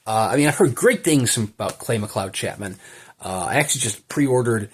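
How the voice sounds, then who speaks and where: A man's voice: mid-range voice, animated, moderate pace, husky. A man giving a casual unboxing commentary, enthusiastic and rambling as he thinks aloud. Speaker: book collector presenting an unboxing.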